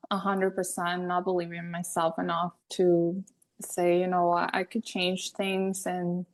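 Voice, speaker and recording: Young woman, from Venezuela, podcast guest, chatty, laughs easily, casual talk. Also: mid-range voice. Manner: chatty, laughs often, restarts sentences. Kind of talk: casual interview conversation.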